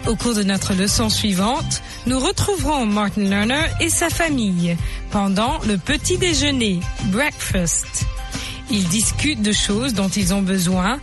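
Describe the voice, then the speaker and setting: A woman presenting a scripted bilingual radio language lesson, enunciating examples deliberately in a teacherly way. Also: mid-range voice, lively intonation, over music. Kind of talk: scripted bilingual English lesson for radio, with repeat-after-me drills. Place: radio studio.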